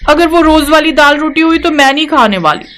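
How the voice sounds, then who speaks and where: A woman's voice: sits high, lively intonation, brisk, a bit bright, a little hiss or hum, pitched above the performer's own voice. A woman voicing a spoiled, whiny, demanding rich young daughter-in-law in a moral story. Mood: entitled, demanding. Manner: whiny, entitled.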